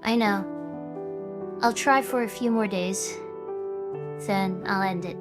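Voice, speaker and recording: A woman playing a cute young streamer idol who is stressed and defiant yet wavering, in an animated drama. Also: mid-range voice, takes it slowly, music in the background, lightly acted. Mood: subdued, resolved. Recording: noticeable background noise.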